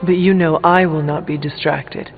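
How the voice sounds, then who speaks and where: A man plays a serious, literal-minded game hero. His voice is high for a man and measured in delivery. It comes through narrow, phone-like audio over music. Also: steady tone, close to an everyday voice. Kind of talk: video game dialogue acting.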